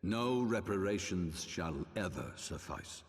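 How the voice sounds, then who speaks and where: An actor playing a stern, eloquent older religious ruler in a fantasy game, speaking measured, archaic oratory. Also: male voice, low voice, animated, exaggerated voice. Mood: solemn, insistent. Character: stern, eloquent older male religious ruler, a father justifying old sins. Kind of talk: dramatic fantasy game cutscene acting. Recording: long reverberant hall.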